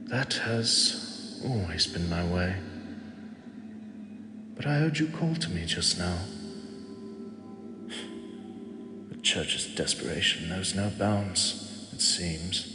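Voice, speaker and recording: A man voicing an ancient male god who speaks softly and slowly, fond and gently teasing, as if to a mortal he protects. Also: deep voice, over music. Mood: stern. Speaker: voice actor. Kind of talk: audio drama monologue. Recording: echoey with long reverb and clear ambience.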